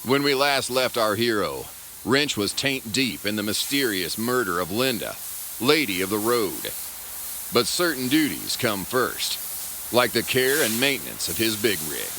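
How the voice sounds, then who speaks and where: A man playing a melodramatic hardboiled serial narrator, grave and mock-heroic, with a gravelly voice. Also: fairly low pitch, big pitch swings, a little slow, sharp tone, slight background noise, exaggerated voice, low-quality recording. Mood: suspenseful, mock-heroic. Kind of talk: pulp radio-serial narration. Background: guitar music.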